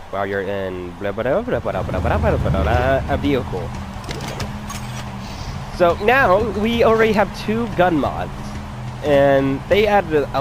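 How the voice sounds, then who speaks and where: Animated man commentating over loud game music. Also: medium pitch. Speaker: let's play host. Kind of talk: casual gameplay commentary. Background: video game music and vehicle sounds.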